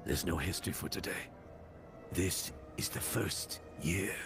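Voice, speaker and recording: A brooding prophet in a dark fantasy video game. His voice is low, his delivery ominous and riddling, and loud music plays behind him. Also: a little slow, vocal fry. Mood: cryptic, brooding.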